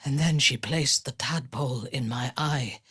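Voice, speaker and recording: An actress voicing a ruthless, imperious female cult commander in a fantasy video game, with a measured, cold and menacing delivery. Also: rumbling low, fairly bright tone, slightly rough, no echo, studio quality, full character voice. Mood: solemn, reverent.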